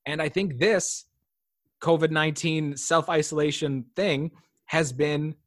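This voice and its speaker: A man's voice: a little high, fairly even intonation, measured pace, no echo, clean studio sound. A man in his early thirties in casual chat, talking reflectively with many fillers. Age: 30s.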